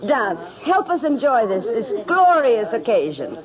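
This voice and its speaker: An actress playing a devoted, excitable bride in a radio drama, full of anxious questions and exclamations. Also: female voice, on the high side, a little slow, crowd noise. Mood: happy, tender. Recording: muffled radio recording under loud music.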